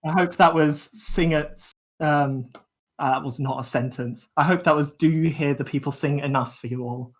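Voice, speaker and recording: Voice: medium pitch, steady tone, normal speed. A young adult hosting a livestream, chatting casually in a playful, self-mocking way. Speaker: livestream host and kazoo performer.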